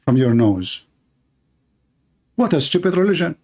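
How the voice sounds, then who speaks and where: A man preaching to his viewers with a dark, mellow voice pitched a little high. He declaims emphatically and repeats his points for force. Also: very animated, unhurried. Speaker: online religious debater.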